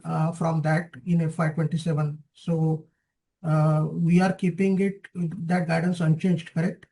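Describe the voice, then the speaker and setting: A man's voice: sits high, creaky, a little mellow, poor audio. A man with an Indian accent politely asking questions as an analyst calling into an earnings call. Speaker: analyst caller.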